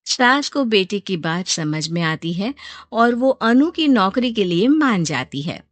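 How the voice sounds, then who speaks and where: A woman's voice: medium pitch, very animated, measured pace, no room sound. A woman narrating a scripted moral story as storyteller.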